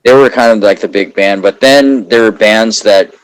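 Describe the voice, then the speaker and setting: A man's voice: fairly low pitch, normal speed, clear, resonant tone, poor audio. A man hosting an interview podcast, asking long questions that he keeps rephrasing as he goes. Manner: stumbling, reworded questions. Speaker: podcast host. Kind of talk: casual interview.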